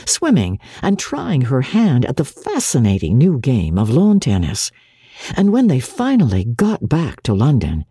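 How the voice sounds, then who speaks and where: A woman's voice: very deep voice, dark tone, breathy edge, dry recording, studio quality. A woman narrator giving a dramatic audiobook reading of classic literature.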